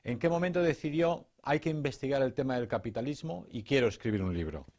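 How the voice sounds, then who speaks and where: A man's voice: mid-range voice, lively intonation, fast, darkish tone. A man conducting a formal interview, introducing his guest and asking questions with frequent hesitant fillers.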